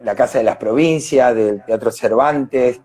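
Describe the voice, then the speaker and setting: An Argentine man speaks in a mid-pitched voice as an interview guest on a video call. His delivery is conversational and earnest, explaining step by step with pauses and fresh starts. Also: warm tone, dry recording. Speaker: actor being interviewed.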